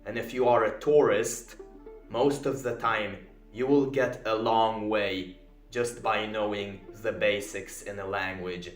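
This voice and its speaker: A man's voice: lowish voice, echoey room. A young man whose first language is Polish, giving an earnest, emphatic self-improvement commentary. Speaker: video essayist.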